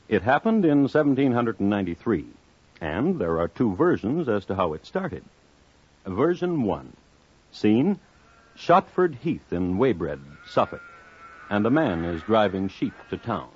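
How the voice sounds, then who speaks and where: A man hosting and narrating a scripted 1950s radio crime series in a measured, theatrical manner with dramatic pauses. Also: lowish voice, big pitch swings, breathy edge, no echo. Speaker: host and narrator. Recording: muffled old 1950s radio recording.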